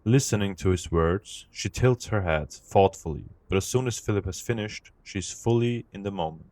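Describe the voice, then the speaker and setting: A man reading scripted narration for an audio drama in an even, descriptive way. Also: lowish voice, normal speed, dry recording.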